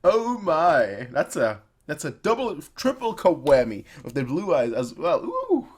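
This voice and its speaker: Animated man giving casual reactions. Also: mid-range voice, normal speed, breathy edge. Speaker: YouTube reaction host. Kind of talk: casual off-the-cuff reaction commentary.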